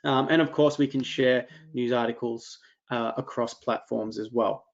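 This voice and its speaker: Man demoing software, monotone. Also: medium pitch, moderate pace, gravelly, highs cut off. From Australia. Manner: loose and conversational, frequent ums and restarts. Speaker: product presenter. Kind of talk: webinar software demo.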